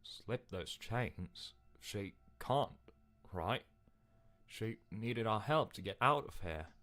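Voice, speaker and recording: An earnest, doubtful hero's inner voice put on by a man for a story game. It is pitched up from a deep natural voice, anxious and easily smitten, over music. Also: animated, slow pace, fairly bright tone. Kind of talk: game character acting.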